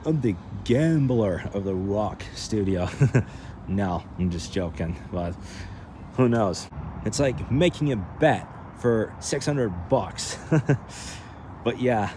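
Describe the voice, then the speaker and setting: A man with a fairly low voice records a casual vlog. He rambles along in a joking way, often talking to himself. There is some light ambient noise, like traffic, behind him. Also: lively intonation, takes it slowly. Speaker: vlogger.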